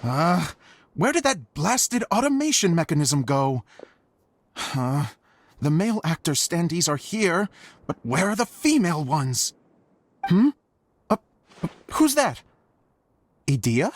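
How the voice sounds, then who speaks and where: A man's voice: a little high, very animated, slow, long pauses, no echo, full character voice. A man voicing an eccentric, grumbling but curious male theater director in a video game cutscene. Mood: grumbling puzzled. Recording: clear music behind the voice.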